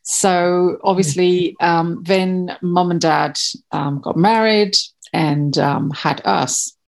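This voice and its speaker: A woman's voice: lowish voice, steady tone, slow, vocal fry, a little mellow. A woman in her fifties from Malawi, a podcast guest talking about her family, hesitant with many fillers and restarts. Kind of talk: casual interview chat.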